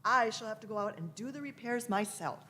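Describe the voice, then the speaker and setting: A woman's voice: a little high, lively intonation, brisk, bright, forceful tone. A woman playing an elderly, grandfatherly male time traveller in a live radio play, fussy and self-assured in an old-fashioned way. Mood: insistent.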